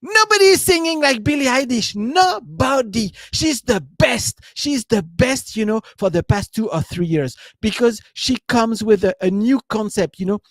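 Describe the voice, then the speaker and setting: A man's voice: extremely high pitch, lively intonation, normal speed, hardly any pauses, no room sound. Rambling man with a French accent. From France. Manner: rambling, leans on "you know" and "right?". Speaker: business coach giving a live stream. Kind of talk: casual live-stream talk.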